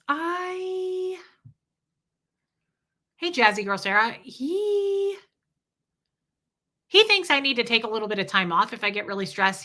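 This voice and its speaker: A woman's voice: fairly high pitch, sharp tone. A woman in her forties hosting a casual livestream, reading viewer super chats and talking candidly, with frequent swearing. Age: 40s. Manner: candid and profane, reading viewer comments aloud. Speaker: livestream host.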